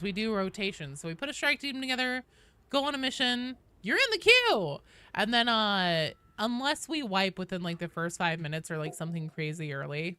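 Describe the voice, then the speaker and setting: A woman's voice: fairly low pitch, bright, forceful tone, clear, resonant tone. A woman hosting a casual game live stream, chatting playfully with viewers and breaking into sudden exclamations, with teasing, jokey banter. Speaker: video game streamer and host.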